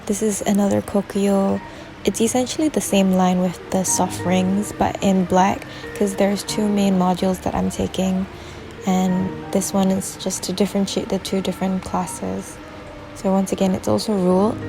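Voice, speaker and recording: A young woman vlogging in a relaxed, chatty way, explaining things step by step from her desk at home. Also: medium pitch, creaky. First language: English. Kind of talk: casual vlog narration. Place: small room at home, at a desk. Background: background music.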